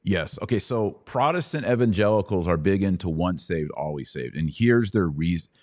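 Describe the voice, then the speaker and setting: A man's voice: low-pitched, expressive, normal speed, a little mellow, no room sound, studio quality. A middle-aged American man hosting a conversational religious question session, explaining step by step and checking understanding with short questions. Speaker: host answering Bible questions.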